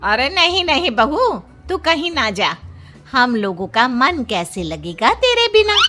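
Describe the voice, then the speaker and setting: A woman's voice: high-pitched, big pitch swings, unhurried, bright, forceful tone, soft background music, exaggerated voice. A woman voicing an affectionate, earnest neighbourhood auntie in a cartoon story, with a coaxing manner.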